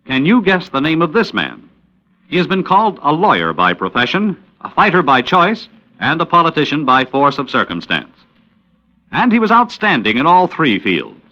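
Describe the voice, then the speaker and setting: A man's voice: fairly high pitch, bright, forceful tone. An American man reading scripted narration as an old-time radio announcer, formal and oratorical. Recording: dull vintage radio recording.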